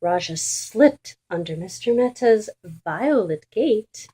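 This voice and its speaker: A woman reading a children's storybook aloud in an expressive, deliberate and emphatic way for young listeners. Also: medium pitch, breathy edge. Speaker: children's book reader.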